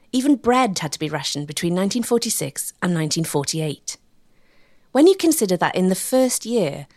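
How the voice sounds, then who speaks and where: Woman narrator with lively, varied intonation. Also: on the low side. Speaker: documentary narrator. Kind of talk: scripted narration.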